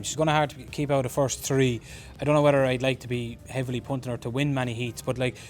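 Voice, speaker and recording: This Irish man is a racing pundit who speaks in a flat, even tone. His analysis is measured and unhurried, and he often leads into a point with "look". His voice sits at a middle pitch for a man. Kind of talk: race analysis chat.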